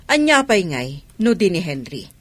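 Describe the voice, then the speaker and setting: A woman's voice: lowish voice, sing-song, moderate pace, a bit bright, dry recording. A woman with an Ilocano accent playing an impatient, teasing gossip in a radio drama. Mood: teasing, gossipy.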